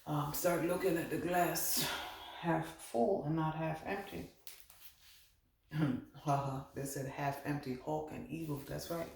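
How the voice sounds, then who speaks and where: Gravelly man giving a roomy spirit reading. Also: fairly high pitch, a little hiss or hum. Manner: meandering, thinks aloud, relays spirit messages. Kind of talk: channeled spiritual card reading. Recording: roomy echo.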